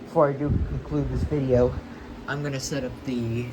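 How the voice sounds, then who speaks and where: A man thinking aloud in a slow, halting way while setting up a device, with many pauses and okays. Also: medium pitch, little pitch movement. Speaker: hobbyist vlogger unboxing a weather radio. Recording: loud background noise.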